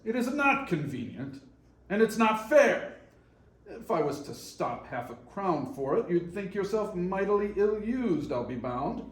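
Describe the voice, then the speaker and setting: A man's voice: high-pitched, very animated, a little slow. A man acting cranky old miser, emphatic, widely varied intonation, stage reading, echoey room. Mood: grumbling. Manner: curt, grumbling, emphatic. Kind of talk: readers theater stage reading. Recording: roomy echo.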